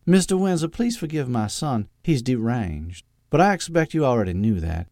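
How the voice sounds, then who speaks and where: A man's voice: mid-range voice, sing-song, normal speed, a little mellow, no echo, studio quality, voice pushed up. A man playing a sing-song Southern belle in her sixties. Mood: grandly charming. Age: 60s. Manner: sing-song, theatrical, imperious.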